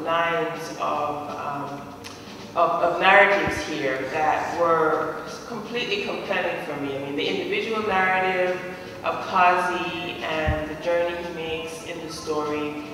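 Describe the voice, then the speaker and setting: A man's voice: fairly high pitch, unhurried, background noise. A man in his forties from Jamaica, a panelist speaking thoughtfully and searching for words at a discussion. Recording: far off mic in an echoey hall.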